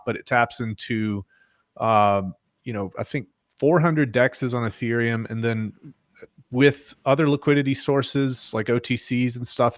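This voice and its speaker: Casting: man, mid pitch, loose chatty guest, rambling speculative delivery, dry close mic. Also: a bit bright, no room sound. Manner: rambling, speculative, many restarts. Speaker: guest, crypto project builder. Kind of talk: casual crypto podcast chat.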